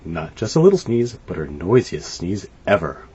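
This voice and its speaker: A man narrates a children's storybook in a fairly low voice that swings widely in pitch. His delivery is expressive and scripted. The sound is slightly dull, with music playing behind him. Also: normal speed, husky. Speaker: storybook reader. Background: light music.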